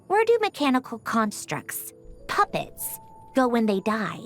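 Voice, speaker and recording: High, expressive mechanical puppet girl researcher. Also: unhurried, soft background music. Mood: pensive, melancholy. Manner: theatrical, musing, expressive. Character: female mechanical puppet researcher, curious, haughty, matter-of-fact. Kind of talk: scripted video game character monologue.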